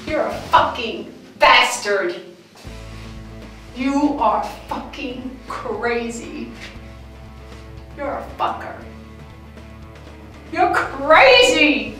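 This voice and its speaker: Captive woman, thriller film, frightened, profane, high voice, heavy room echo, music behind. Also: big pitch swings, extremely slow, long pauses, sharp tone, exaggerated voice. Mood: panicked, angry. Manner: blunt, profane, emotional. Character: frightened, foul-mouthed woman held captive. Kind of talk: dramatic film acting. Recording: echoey bare room, distant mic, music.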